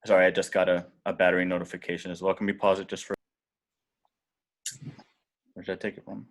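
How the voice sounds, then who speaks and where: Deep voiced guy reading script action lines, dramatic narration, deliberate. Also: little pitch movement. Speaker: stage directions reader.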